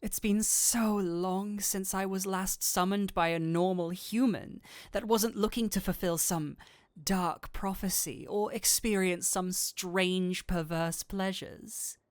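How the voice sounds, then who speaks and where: A man playing a flirtatious incubus demon in a roleplay audio drama, with a teasing, theatrical, drawn-out delivery. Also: sits high, clear, resonant tone, no echo, clean studio sound. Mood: amused, teasing. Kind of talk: scripted roleplay audio drama, addressing the listener.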